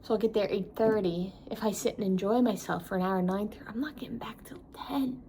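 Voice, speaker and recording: Chatty young woman, mellow voice. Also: mid-range voice, fairly quick, slight background noise. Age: 20s. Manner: chatty, self-mocking, talks to herself. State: tired. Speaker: travel vlogger. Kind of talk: casual vlog chat to camera.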